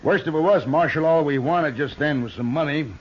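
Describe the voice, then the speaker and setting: An actor playing a folksy, menacing outlaw gang leader in an old radio western, with a drawling, mockingly genial way of talking. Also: male voice, a little high, brisk, close to an everyday voice. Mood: folksy, unrepentant. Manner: drawling rustic speech, mockingly genial, bullying. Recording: muffled, noisy old radio recording.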